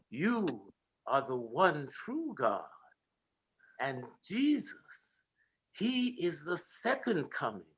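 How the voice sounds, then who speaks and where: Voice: mid-range voice, breathy edge. A performer voicing a reverent alien who chants praise.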